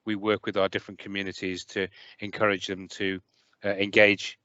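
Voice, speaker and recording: Low-voiced man answering on a video call. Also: monotone, normal speed, pushed and bright, no echo. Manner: hesitant, many fillers and restarts. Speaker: health service manager. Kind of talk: answering questions in an online meeting. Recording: muffled, dry video call audio.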